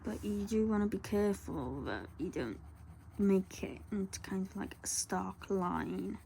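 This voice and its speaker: Lady, croaky soft voice, slow and warm, rambling along while she colours, faint hum behind her. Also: medium pitch, animated. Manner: soft, rambling, often drops to a whisper. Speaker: colouring tutor.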